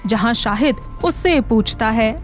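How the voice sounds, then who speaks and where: High-voiced woman narrating a story over music. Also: lively intonation, measured pace. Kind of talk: scripted moral-story narration for animated videos. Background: background music.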